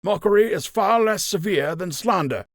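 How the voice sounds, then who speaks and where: A man voicing an older gray male rabbit in an audiobook, proud and formal, with a measured, cutting wit. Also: high voice, expressive, dry recording, clean studio sound, pitched above the performer's own voice. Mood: cool mockery.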